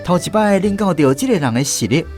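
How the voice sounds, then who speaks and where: An actor playing a young soldier with a Taiwanese accent, narrating memories of army days in a radio drama. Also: male voice, sits high, animated, fairly quick, music in the background. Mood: recounting.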